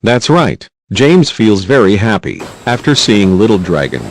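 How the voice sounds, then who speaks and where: A man's voice: on the low side, sing-song, measured pace, slight background noise. A man reading scripted narration for a children's quiz video, with simple prompts in non-native English phrasing.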